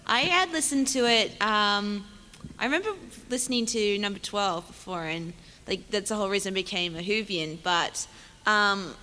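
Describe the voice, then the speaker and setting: Woman on a con panel telling stories, bright voice, big echoey noisy room. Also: medium pitch. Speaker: convention panelist, fan audio drama performer. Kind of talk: casual panel chat, telling anecdotes. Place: large hall at a fan convention. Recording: echoey noisy hall, PA sound.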